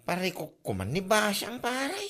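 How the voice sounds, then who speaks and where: A woman's voice: mid-range voice, huge pitch swings, unhurried, fairly bright tone, exaggerated voice. A woman playing a whiny drunk young man. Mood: sulky. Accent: Ilocano. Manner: whining, exclaiming. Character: hard-drinking young man Toriong, whiny and sulky.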